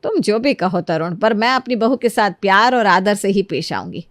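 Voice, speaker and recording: A woman voicing a kindly, motherly middle-aged lady who reasons gently in a moral storybook tale. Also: medium pitch, very animated, moderate pace, no room sound, clean studio sound, natural voice. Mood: earnest, caring. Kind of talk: animated moral storybook dialogue.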